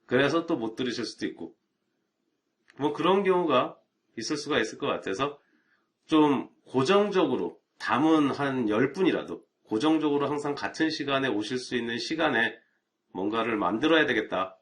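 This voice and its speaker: A man's voice: mid-range voice, lively intonation, moderate pace. A middle-aged man, an IT networking instructor, talking casually and chattily to viewers on a live stream, with rhetorical asides.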